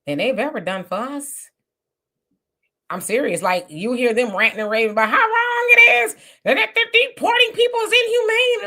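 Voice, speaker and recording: Woman hosting a live stream, bright voice pitched a bit high, reads comments n answers em. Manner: reads viewer comments aloud and answers them; emphatic, conversational. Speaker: host of a live discussion.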